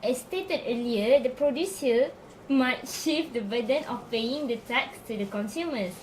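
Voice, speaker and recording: A woman's voice: on the high side, unhurried, darkish tone, a little hiss or hum, natural voice, poor audio. A woman playing an eager, studious know-it-all schoolgirl in an amateur puppet show. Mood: helpful. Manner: keen, recites textbook answers.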